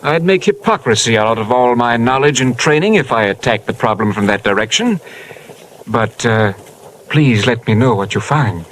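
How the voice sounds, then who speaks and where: A man's voice: mid-range voice, animated, normal speed, a bit bright, natural voice, rough recording. A man playing a formal, earnest doctor. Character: proper, educated male doctor. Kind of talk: 1950s radio drama acting. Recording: old radio broadcast with hiss.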